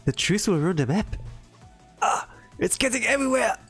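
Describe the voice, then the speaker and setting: A streamer voicing a flustered, easily scandalised young girl in a shrill, exclaiming way. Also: sing-song, moderate pace, fairly bright tone, breathy edge, exaggerated voice. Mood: alarmed, scolding. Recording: background music under the voice.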